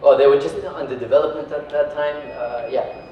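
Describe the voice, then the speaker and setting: A man's voice: a little high, steady tone, brisk, far from the mic. A man from Iraq giving an informal, conversational talk to an audience, often checking in with short tag questions. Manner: conversational, peppers sentences with tag questions like right and yeah. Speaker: speaker giving a talk, company founder. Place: echoey indoor venue, recorded at a distance. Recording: echoey room from afar, dull, faint noise.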